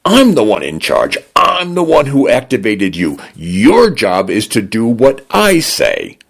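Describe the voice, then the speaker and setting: A man voicing an indignant woman who shouts at her own home after being locked out. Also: fairly low pitch, huge pitch swings, takes it slowly, gravelly, slightly rough, pitched above the performer's own voice, full character voice.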